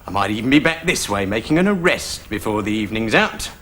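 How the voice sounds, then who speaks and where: An actor playing a polite, deferential police constable who speaks in a formal, courteous way, in a British TV sitcom. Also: male voice, on the low side, sing-song, quick pace, bright, forceful tone, slight background noise. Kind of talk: 1980s British sitcom acting.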